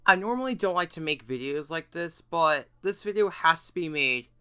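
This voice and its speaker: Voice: mid-range voice, expressive, normal speed, sharp tone, clear, resonant tone. An earnest, emphatic YouTuber giving semi-scripted commentary on an issue. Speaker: YouTuber presenting an issue video.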